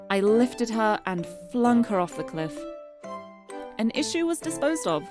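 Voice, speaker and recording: A woman streamer reading visual novel narration aloud, expressively and dramatically, with casual asides to viewers. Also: mid-range voice. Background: music.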